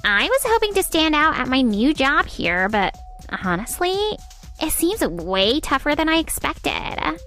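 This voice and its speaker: A woman playing a smug, spoiled young saleswoman in an animated manga dub, with dramatic casual phrasing. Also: high-pitched, a little slow, soft background music, heavily acted. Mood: casual, uneasy.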